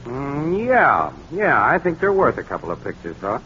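An actor playing a wry, kind-hearted newspaper photographer, casual and slangy, with an American accent in 1940s radio style. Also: male voice, mid-range voice, huge pitch swings, moderate pace, bright, forceful tone. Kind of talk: 1940s radio drama acting. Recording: dull, very noisy 1940s radio recording.

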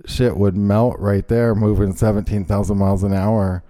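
A man's voice: lowish voice, flat delivery, moderate pace, mellow. A man co-hosting a podcast, talking casually in a drawling way with fillers. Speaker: podcast co-host. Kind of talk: casual conspiracy talk.

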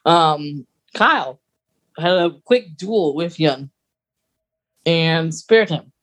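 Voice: mid-range voice, lively intonation, drawn-out words, a bit bright. A podcast co-host chatting casually and informally, recapping in a conversational way. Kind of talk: casual chat.

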